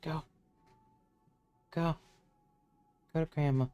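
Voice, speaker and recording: A man's voice: a little high, fairly even intonation, slow, dark tone, smooth, dry recording, highs cut off. A man giving casual, rambling live commentary as he plays a game on stream. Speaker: livestreamer playing a game. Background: faint game music.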